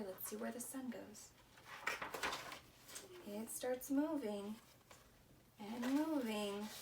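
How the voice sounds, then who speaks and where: High-voiced woman reading a children's story. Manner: simple, expressive phrasing, prompting young listeners with questions. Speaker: teacher hosting children's story time. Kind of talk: animated read-aloud storytelling with questions to young children. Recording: distant mic with faint background noise.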